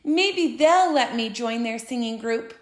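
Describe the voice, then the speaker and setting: A woman's voice: on the high side, expressive, measured pace, voice pushed down. A woman storyteller voicing a shy, hopeful young male frog who stammers when he is nervous. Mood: shy, pleading. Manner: stammering, timid. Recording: roomy echo.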